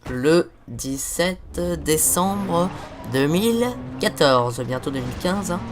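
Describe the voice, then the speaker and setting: A man's voice: fairly high pitch, lively intonation, takes it slowly, music in the background. A teenage boy with a cold, hosting a casual let's-play and rambling on while swearing at the game. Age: teens. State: has a cold, stuffy. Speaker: gaming video host.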